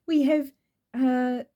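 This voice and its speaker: A woman's voice: high-pitched, dark tone. A woman with a New Zealand accent reviewing an oracle deck in a chatty, gushing way, rambling with fillers. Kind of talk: casual product review.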